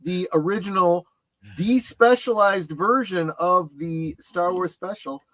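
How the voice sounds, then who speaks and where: A man hosting a casual panel discussion on his channel, halting and emphatic as he thinks aloud. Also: high voice, a bit bright, no room sound, low-quality recording. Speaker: host of a pop culture streaming channel.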